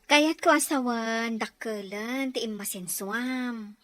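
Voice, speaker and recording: A woman's voice: on the high side, slow, no room sound. A woman playing a talkative, teasing married friend in a family drama, with an Ilocano accent. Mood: teasing, delighted. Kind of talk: acted family drama dialogue.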